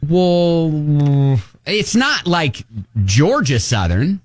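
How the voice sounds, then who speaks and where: A man from Ohio with a slightly nasal voice sits at the mic as a radio co-host and chats casually. He talks in an animated way, his pitch sitting a bit high and swinging widely. The studio sound is close and dry. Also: no room sound. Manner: animated storytelling, quick restarts. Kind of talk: casual on-air chat.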